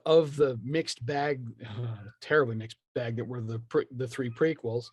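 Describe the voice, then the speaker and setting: A man's voice: medium pitch, measured pace, a little mellow, slightly rough, no room sound. Opinionated man rambling in a dry, close recording. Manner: opinionated, rambling, thinks aloud. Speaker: podcast speaker discussing film franchises. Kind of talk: casual chat.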